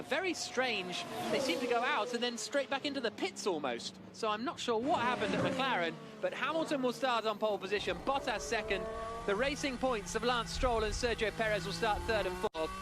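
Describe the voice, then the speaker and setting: High-voiced male race commentator. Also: noisy background. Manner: brisk, excited play-by-play. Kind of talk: live motor racing commentary.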